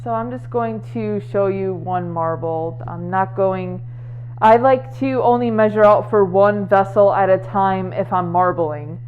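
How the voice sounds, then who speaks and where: A woman talks unhurriedly through a craft tutorial, relaxed and chatty with plenty of ums. Her voice is nasal and muffled because she is wearing a mask. The recording is thin and tinny, like a phone line. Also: mid-range voice, unhurried, a little hiss or hum. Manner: relaxed and chatty, many ums, light laughs. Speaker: candle maker showing a craft. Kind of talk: casual tutorial talk.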